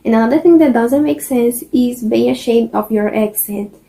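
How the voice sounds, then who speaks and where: A young woman from Brazil with a Brazilian Portuguese accent, a native Portuguese speaker, giving language-learning tips in English in a relaxed, chatty, encouraging way with many fillers. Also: on the high side, moderate pace, vocal fry. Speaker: vlogger. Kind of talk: casual chat. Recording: loud music bed under the voice.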